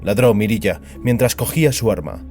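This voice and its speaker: Un hombre que narra un relato dramático con guion, como narrador de audioficción. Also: male voice, fairly low pitch, lively intonation, a little fast, gravelly, darkish tone, soft background music. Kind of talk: scripted dramatic story narration.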